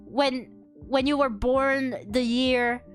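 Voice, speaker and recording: Brief: young female streamer, high bright voice, lively mock outrage, soft music underneath. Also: takes it slowly. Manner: animated, exaggerated outrage, many restarts. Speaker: VTuber streamer. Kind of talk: casual chat while drawing, reading chat.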